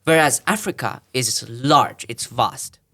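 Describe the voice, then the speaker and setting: A man's voice: mid-range voice, expressive, takes it slowly, pushed and bright. A man from Tanzania, a podcast guest, explaining at length in a thoughtful way with frequent restarts. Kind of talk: explanatory conversational interview.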